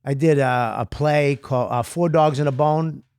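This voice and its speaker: A man's voice: fairly high pitch, normal speed, darkish tone, clear, resonant tone, no room sound, studio quality. A man from Boston with a Boston accent, a comedian guest telling his life story in a rambling, self-deprecating way. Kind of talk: interview storytelling.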